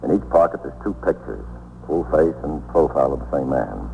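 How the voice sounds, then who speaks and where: An actor playing an unhurried, wry police detective in a 1950s radio drama, explaining things in a dry, instructive way. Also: male voice, a bass voice, animated, gravelly, phone-quality sound, soft background music, heavily acted. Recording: noisy, phone-thin 1950s radio recording.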